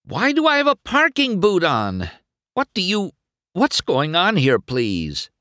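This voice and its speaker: A man acts out a cocky, impatient talking race car for a storybook. The voice is raised and swings widely in pitch, slick and easily flustered, in a dry close recording. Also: wildly swooping pitch, fairly bright tone, no echo, full character voice. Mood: panicked. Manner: fast-talking, stammering when flustered, wheedling. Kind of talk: audiobook character voice.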